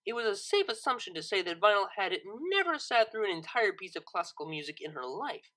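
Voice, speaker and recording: A narrator reading a scripted story expressively, with dramatic, deliberate phrasing. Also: mid-range voice, very animated, sharp tone. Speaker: narrator, audiobook reader.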